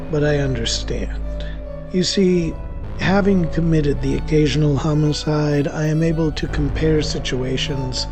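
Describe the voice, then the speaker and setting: A man performs a sardonic warlock narrating a horror radio drama. The voice is markedly gravelly and theatrical, pausing for effect like a killer confessing, with music playing underneath. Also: fairly high pitch. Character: male warlock killer, wry, self-deluding, guilt-ridden confessor. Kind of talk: first-person horror audio drama monologue.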